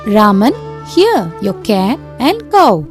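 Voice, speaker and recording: A woman voicing a gracious adult queen in an animated children's story, bright and approving. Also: mid-range voice, slow pace, soft background music.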